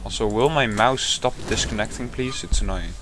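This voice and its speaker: A man giving casual, sarcastic commentary while opening game packs, grumbling and then breaking into sudden excited yells. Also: mid-range voice, moderate pace, background noise. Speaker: gaming content creator.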